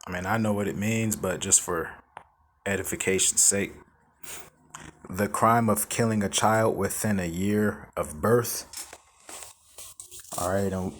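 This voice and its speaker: A man teaching from scripture in a loose, halting way, reading verses slowly and adding casual asides. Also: on the low side, a little hiss or hum. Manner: drawn-out scripture reading with filler asides like "all right" and "man"; halting, repeats words while searching for them. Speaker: Bible teacher.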